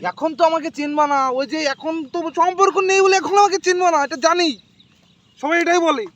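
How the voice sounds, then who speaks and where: A man's voice: very high-pitched, fast talker, a bit bright. A man playing a jilted young village boyfriend on a prank call, pleading, repetitive and melodramatic. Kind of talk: prank phone call acting.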